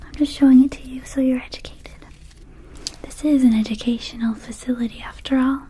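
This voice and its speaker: A woman voicing a small, laid-back, slightly shady vampire dispensary keeper in an ASMR roleplay, whispering softly in a rambling, casual sales patter. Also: sits high, steady tone, a little fast, slight background noise, natural voice. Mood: rambling, cautioning. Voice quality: soft, breathy whisper.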